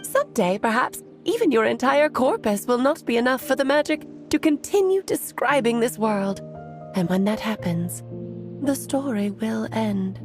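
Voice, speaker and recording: Alice, a caring fairy-tale mage, speaks in a light, fairly high voice that rises and falls with lively lilt, as an actress voices her in a game story scene. She sounds gentle and concerned, with soft music behind her. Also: moderate pace, lightly acted. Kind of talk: story cutscene voice acting.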